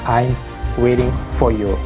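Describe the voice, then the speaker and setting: A man's voice: mid-range voice, level tone, unhurried, music in the background. A man with a Russian accent speaking directly to viewers in simple, slightly broken phrasing. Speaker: channel host.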